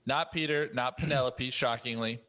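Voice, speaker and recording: Man, podcast host, bright forceful voice, medium pitch, casual chat. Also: fairly even intonation, moderate pace, long reverb tail.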